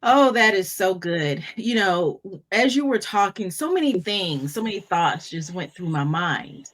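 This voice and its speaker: A woman in her fifties hosting a conversation, enthusiastic and stumbling over repeated words when excited. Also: mid-range voice, a little slow. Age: 50s. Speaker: podcast host, business coach. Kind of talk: casual conversation.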